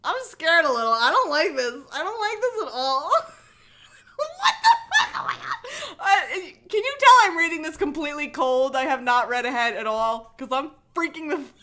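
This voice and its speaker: Bright, high-pitched, animated woman livestreamer. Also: moderate pace, a little hiss or hum. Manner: animated, sarcastic, giggly, swears freely. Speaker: livestream host reading a book aloud. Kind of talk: cold reading with mocking asides.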